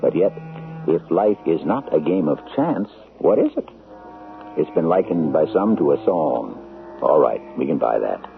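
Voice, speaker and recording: A man hosting a radio drama, speaking in a measured, philosophical, deliberate way. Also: very deep voice, very animated, gravelly, a little hiss or hum. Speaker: host of a radio drama series. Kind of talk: 1970s radio drama host commentary. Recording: tinny old radio broadcast with music.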